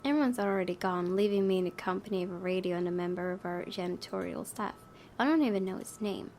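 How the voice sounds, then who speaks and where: A woman's voice: fast, darkish tone. A woman doing let's play narration, reading a story aloud expressively with casual, offhand asides. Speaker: gaming video host, reading a visual novel aloud. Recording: faint low rumbling background noise.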